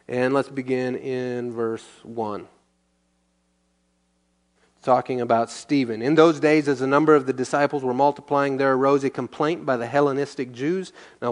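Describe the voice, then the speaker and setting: A male pastor at a middle pitch reads scripture aloud, then breaks it down in an easy, conversational way. He often poses a question and answers it himself as he teaches verse by verse. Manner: asks and answers his own questions.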